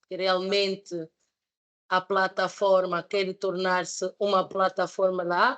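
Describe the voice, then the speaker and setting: A woman's voice: medium pitch, a bit bright. A woman in her thirties, not a native Portuguese speaker, presenting a project at a panel debate with many fillers and restarts. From Switzerland. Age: 30s.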